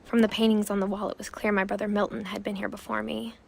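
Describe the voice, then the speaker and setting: An actress narrating a video game as a wistful young woman recalling her family's past, soft and often close to a whisper. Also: mid-range voice, little pitch movement, fast, gravelly, background noise, natural voice. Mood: reflective. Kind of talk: scripted narration. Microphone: studio voice-over.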